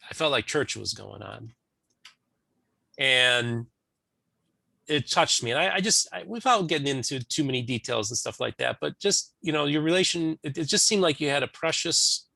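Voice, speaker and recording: A man with a bright, edgy voice and a noticeable creak, recorded dry. He hosts a conversational interview in an earnest, informal style, rambling through his thoughts. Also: medium pitch, no echo. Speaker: interview show host.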